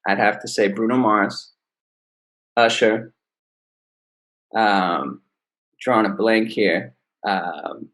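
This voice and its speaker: A man, an R&B singer, answering interview questions in a relaxed, easygoing way. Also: lowish voice, slow pace, pauses often. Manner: relaxed, laid-back explaining. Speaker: R&B singer, guest. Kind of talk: casual interview.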